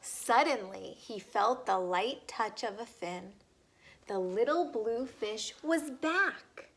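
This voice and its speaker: A woman reading a children's story aloud expressively, pausing to put questions to young listeners. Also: a little high, lively intonation, a bit bright, slight background noise.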